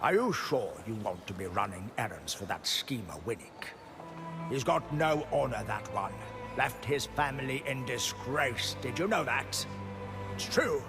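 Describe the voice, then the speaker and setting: An actor playing an embittered, scheming outlaw in a fantasy game, pleading and vengeful, with a rough, wheedling manner. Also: male voice, medium pitch. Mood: insinuating, earnest. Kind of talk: dramatic fantasy game acting. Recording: music behind the voice.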